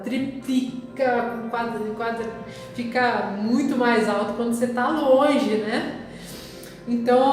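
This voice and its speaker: Echoey, slow-talking Rio woman. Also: on the high side. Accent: Rio de Janeiro Brazilian Portuguese. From Rio de Janeiro, Brazil. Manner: halting, reflective, pausing often mid-sentence. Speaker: vlogger recounting travel memories. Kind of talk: casual personal storytelling. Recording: very echoey, reverberant room with music.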